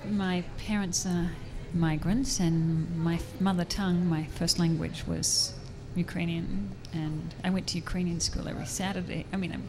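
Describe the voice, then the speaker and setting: A woman's voice: fairly low pitch, steady tone, normal speed, few pauses. A woman, a university professor, chatting in a radio interview, laughing often and restarting her sentences. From Australia. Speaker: university professor and artist guest. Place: busy public fair. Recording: crowd noise behind.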